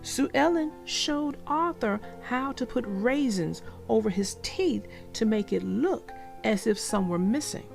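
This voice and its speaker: Animated, unhurried woman reading to children. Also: on the high side, creaky, soft background music. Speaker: channel host and children's story narrator. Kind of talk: read-aloud storybook narration for young children.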